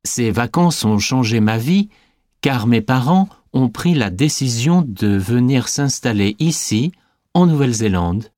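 An actor playing a young sporty French man answering scripted interview questions with clear enunciation. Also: medium pitch, animated, a little slow, darkish tone, dry recording, clean studio sound, lightly acted. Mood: friendly, measured. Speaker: interviewee in a language listening exam.

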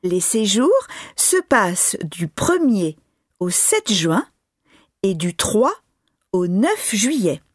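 A woman reading a scripted informational announcement with clear articulation. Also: medium pitch, big pitch swings, slow, dry recording, studio quality. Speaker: announcement reader.

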